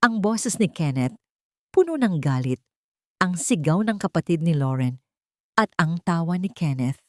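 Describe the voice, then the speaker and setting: A woman reads a dramatic audiobook in a low register. Her scripted narration rises and falls widely, and the sound is very dry. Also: sing-song, a little slow, no room sound.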